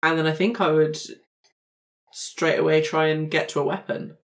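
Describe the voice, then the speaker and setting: Low-voiced woman in casual tabletop game chat. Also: steady tone, normal speed. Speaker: roleplaying game player.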